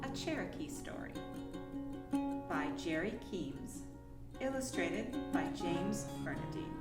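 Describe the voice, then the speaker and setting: A woman storyteller reading a children's picture book expressively, with dramatic pauses and emphasis. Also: fairly low pitch, expressive, echoey room, far from the mic, over loud music. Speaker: storyteller from a science museum.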